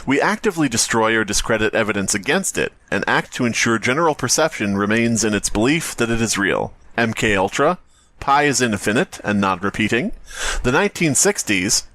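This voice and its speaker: A man's voice: mid-range voice, lively intonation, a little fast, pushed and bright. A man hosting a podcast, bantering casually and reading aloud for comedy, irreverent and given to swearing. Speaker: podcast host.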